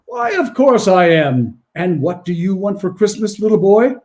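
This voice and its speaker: A man's voice: high-pitched, wildly swooping pitch, moderate pace, a little mellow, exaggerated voice. A man playing a kindly, jolly old Santa Claus with a genial, courtly manner in a 1940s-style radio play.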